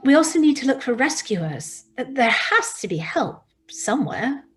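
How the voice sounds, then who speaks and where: A woman's voice: high voice, very animated, normal speed. A woman playing a considerate, practical friend among survivors in a table-read drama. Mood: tentative, caring. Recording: faintly noisy home recording with ambience.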